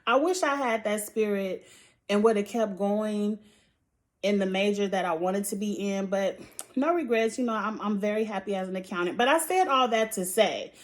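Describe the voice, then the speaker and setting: A woman with a high-pitched voice giving a casual, outspoken recap of a reality show, with sighs and laughs between points. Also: normal speed. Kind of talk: casual opinionated chat.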